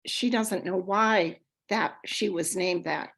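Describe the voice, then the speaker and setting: A woman storyteller on a video call, answering feedback in a hesitant, halting way and laughing often. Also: on the low side, creaky, fairly bright tone. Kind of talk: casual feedback chat.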